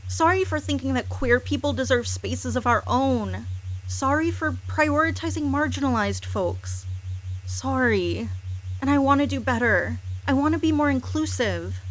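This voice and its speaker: Sarcastic, higher-pitched woman in monologue. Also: low-quality recording. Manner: sarcastic, mock-contrite, emphatic repetition. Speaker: hypnosis audio creator. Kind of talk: scripted satirical apology monologue.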